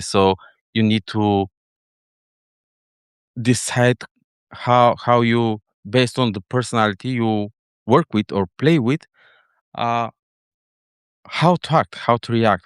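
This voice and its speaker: Man, middle-aged, Bulgarian accent, lowish voice, halting conversational explanations, dry close sound. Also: smooth, dry recording. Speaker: interview guest, head of data engineering. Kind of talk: casual explanatory chat.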